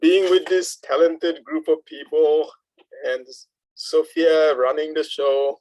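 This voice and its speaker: An older man chatting casually in a group discussion, halting and amused as he shares his thoughts. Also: a little high, steady tone, darkish tone, no echo, poor audio. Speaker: workshop participant, first-time amateur actor. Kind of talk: casual post-show discussion.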